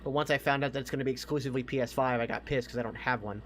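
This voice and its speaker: A man's voice: mid-range voice, fairly even intonation, rapid-fire, a bit bright, slight background noise. A man co-hosting a casual podcast chat, giving emphatic opinions and swearing freely. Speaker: podcast co-host. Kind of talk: casual opinionated chat about games and movies.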